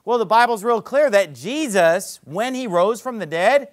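High-voiced man preaching emphatically. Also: expressive, normal speed. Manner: emphatic preaching, swinging between loud peaks and low drops; sarcastic asides. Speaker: pastor. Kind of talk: sermon.

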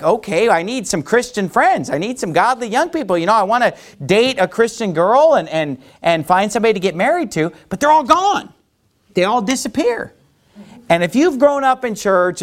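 A man preaching a sermon in an emphatic, animated way, pushing his points up with rising emphasis. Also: high voice.

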